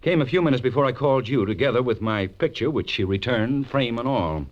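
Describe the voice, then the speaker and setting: A man's voice: medium pitch, expressive, a little fast, highs cut off, natural voice. An actor playing an anxious, ambitious businessman in his thirties, hesitant and urgent, in a 1940s radio drama. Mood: tense, urgent. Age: 30s. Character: anxious, ambitious young businessman in a blackmail jam.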